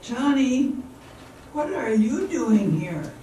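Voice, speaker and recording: A woman playing a romantic leading lady in an old-time radio drama, with slow theatrical delivery, picked up from a distance in a roomy space. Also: fairly high pitch, very animated, warm tone. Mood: distressed. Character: Hannah, lovelorn young woman turned playfully bossy sweetheart. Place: live amateur performance in a hall. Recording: roomy, distant stage mic with faint noise.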